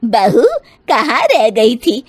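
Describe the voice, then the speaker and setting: Storybook mother-in-law played by a woman: middle-aged, bossy, nagging, high-pitched, dry close recording. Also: animated, slow pace, slightly rough, no echo, full character voice. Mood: scolding. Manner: nagging, commanding.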